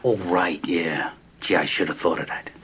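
A folksy, inquisitive police lieutenant in a TV detective drama. He talks in a lowish voice, hesitant and deferential, rambling around each question. The old soundtrack is clearly noisy. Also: normal speed, a bit bright. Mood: sheepish, deferential. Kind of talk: television detective drama acting.

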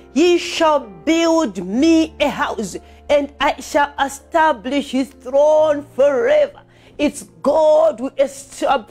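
A high-voiced woman preaches a fervent sermon over faint music. She exhorts her listeners with emphatic repetition, moving between scripture and prayer. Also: darkish tone.

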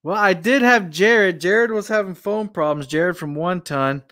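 A friendly man hosts a live video chat about fishing, laughing easily between remarks. His voice is a bit high for a man. He speaks from a room into a webcam. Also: a little slow, sharp tone. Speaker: live stream host. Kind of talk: casual chat. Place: room indoors.

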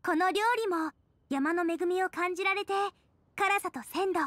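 A woman voicing a cheerful, earnest teenage girl chef in an anime game, eagerly presenting in a clearly articulated way. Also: very high-pitched, normal speed, fairly bright tone, dry recording. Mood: proud, eager. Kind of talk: anime game dialogue, explaining dishes.

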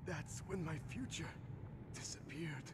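An actor playing a bitter, dying psychic villain in a video game, breathy and whispery, with a halting, brooding delivery. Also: male voice, fairly high pitch, lightly acted. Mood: bitter, mournful. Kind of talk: dramatic video game monologue. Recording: long reverb, faint noise.

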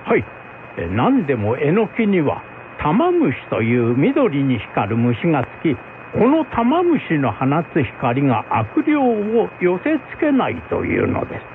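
A man's voice: fairly high pitch, wildly swooping pitch, unhurried, exaggerated voice. A man voicing a respectful, well-informed samurai retainer who explains in formal old-fashioned speech in a folk tale drama. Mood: deferential. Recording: phone-like band with faint noise.

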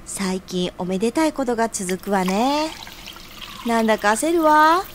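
A woman's voice: fairly high pitch, animated, unhurried, slight background noise. An actress playing a sociable, inquisitive woman who teases and fusses over her friends in an audio drama. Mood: teasing. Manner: feminine, chatty, nudging. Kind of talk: scripted audio drama acting.

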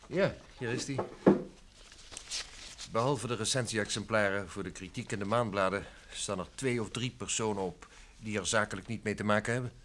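A man's voice: lowish voice, normal speed, a bit bright, a little hiss or hum, close to an everyday voice. An actor playing an affable, obliging male book publisher in an old Dutch radio drama, chatty and businesslike.

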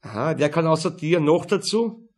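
A man voicing a gruff, irascible Austrian police major and father, loud, sneering and interrogating. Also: fairly high pitch, lively intonation, moderate pace, pitched above the performer's own voice.

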